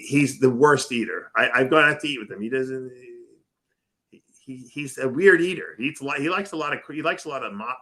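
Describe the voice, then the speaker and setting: Brief: male talk radio host, bright, lively storyteller, casual banter. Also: mid-range voice. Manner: animated storyteller who acts out other people's voices.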